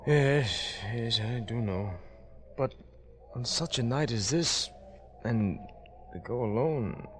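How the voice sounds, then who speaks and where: An actor playing a gentle, worried father who speaks formally and hesitantly in an old-time radio drama. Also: medium pitch, expressive, slow. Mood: worried, fond. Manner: hesitant, courtly phrasing. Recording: faintly noisy old radio recording.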